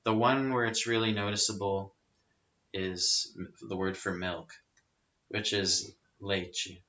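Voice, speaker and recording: A man chatting casually as a podcast guest, explaining things in a relaxed way with frequent fillers. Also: low voice, slow pace. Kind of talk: casual chat. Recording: rough lo-fi audio.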